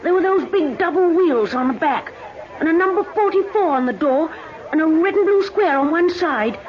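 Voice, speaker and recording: A woman playing an eager, observant young schoolboy in an old radio drama, polite and a little halting. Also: very high voice, animated, some chatter behind. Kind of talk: old time radio acting. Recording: muffled, noisy old radio recording.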